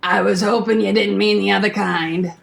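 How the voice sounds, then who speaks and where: An actress playing a wary, tough records insider in a radio play, with a blunt, folksy, wry manner. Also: female voice, medium pitch, fairly even intonation, normal speed, gravelly. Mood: wry, hesitant.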